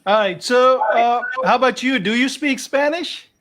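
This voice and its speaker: High-pitched man hosting a casual show. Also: normal speed, fairly bright tone. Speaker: host, doctor interviewing his patient. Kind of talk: casual online show chat.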